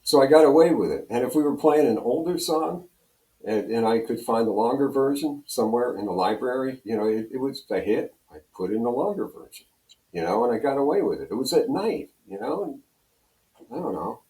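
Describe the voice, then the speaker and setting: A man's voice: medium pitch, lively intonation, fairly quick, warm tone. An older American man telling radio stories as an interview guest, casually rambling and acting out bits of dialogue. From Pennsylvania, United States. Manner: rambling, conversational, acts out dialogue. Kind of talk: casual anecdotal storytelling. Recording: roomy, echoey sound.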